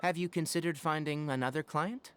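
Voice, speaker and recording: A woman voicing a calm, tactful character in a video game. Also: deep voice, fairly quick, slight background noise, close to an everyday voice. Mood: mild, tactful. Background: game music.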